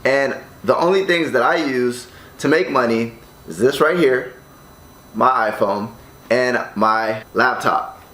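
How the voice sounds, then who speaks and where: An American man speaking straight to his audience in an energetic, salesy way, often tagging his sentences with "right?", recorded in his condo. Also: medium pitch, takes it slowly, reverberant, low-quality recording. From United States. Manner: energetic, upbeat, salesy, with frequent "right?" tags. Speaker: online business vlogger. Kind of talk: casual direct-to-camera vlog. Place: condo room.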